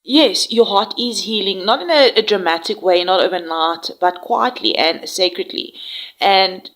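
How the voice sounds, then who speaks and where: A woman's voice: mid-range voice, unhurried, poor audio. A woman with a cold giving a soothing tarot reading, slightly nasal, with frequent fillers. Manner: soft, soothing spiritual address with frequent fillers. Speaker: tarot reader.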